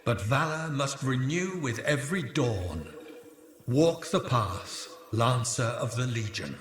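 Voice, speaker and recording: Grave commanding mentor for a game, slow speech, big echo, bit of noise. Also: male voice, medium pitch, animated, a bit bright. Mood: solemn. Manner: grave, measured, proverb-like oratory. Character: solemn, commanding male mentor of an ancient legion. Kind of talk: cinematic video game acting. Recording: echoing, roomy, faint background noise.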